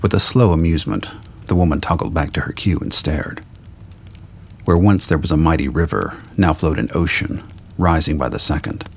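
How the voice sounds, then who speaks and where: A man reading scripted dramatic fiction as a narrator, with a gravelly, husky voice. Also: a bass voice, normal speed.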